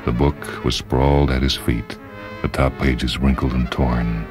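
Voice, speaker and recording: A male story reader with a remarkably deep bass voice. He delivers scripted literary narration with drama, and music plays softly behind him. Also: flat delivery, a little slow.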